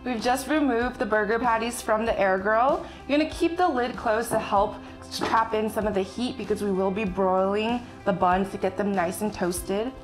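A young woman chef casually explaining a recipe step by step while she cooks in a bright home kitchen. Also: medium pitch, normal speed, hardly any pauses, poor audio. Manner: friendly step-by-step instructions while working. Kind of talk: casual cooking demonstration. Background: background music and sizzling food.

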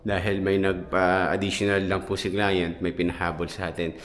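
A man's voice: sits low, flat delivery, normal speed. A man in his thirties, a contractor, chattily walking viewers through a renovated house, filming himself with a handheld camera. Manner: chatty, points things out with repeated fillers. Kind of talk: casual walkthrough vlog. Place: outdoors on a street and inside an empty house. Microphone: handheld action camera.

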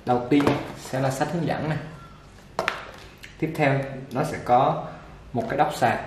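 A man with a mid-range voice walks through an unboxing at an easygoing pace, pausing with fillers while his hands are busy. The room sounds echoey, and a clipper motor buzzes clearly behind him. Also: medium pitch. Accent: Vietnamese. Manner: relaxed, talks while handling the product, many fillers. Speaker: product reviewer for a barber supply shop. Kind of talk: casual unboxing demonstration. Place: indoor shop table with clipper boxes. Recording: echoey room with machine noise. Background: clipper motor buzz and clicks.

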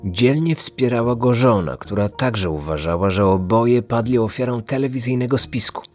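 A man narrating a scripted true-crime podcast as its host, measured and serious. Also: mid-range voice, lively intonation, darkish tone, soft background music.

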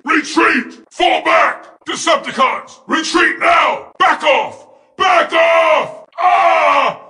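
Screaming, very high, gravelly war robot. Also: male voice, very slow, roomy sound, a faint crowd, heavily acted. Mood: urgent. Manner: shouting, menacing, mocking. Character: fierce, taunting male robot soldier, bloodthirsty and scornful. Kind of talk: video game combat barks.

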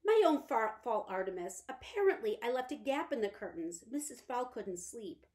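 A flustered, tough teenage girl in a storybook, voiced by a woman reader. She speaks in a hurried, apologetic way. The pitch leaps up and down with a lively range.

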